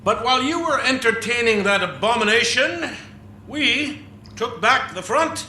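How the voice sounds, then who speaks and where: A man's voice: extremely high pitch, expressive, unhurried, bright, forceful tone, exaggerated voice. A man playing a flamboyant, gloating warlord in a video game, with a theatrical, teasing, grandiose manner. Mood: gloating, seductive. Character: flamboyant, gloating male warlord tempting an ally. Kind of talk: video game cutscene acting. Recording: echoey space with faint background noise.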